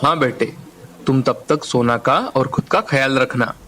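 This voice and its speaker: A man's voice: medium pitch, steady tone, normal speed, fairly bright tone, slight background noise, natural voice. A man playing a worried, caring father of a poor family in a dramatized moral story. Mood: caring, urgent.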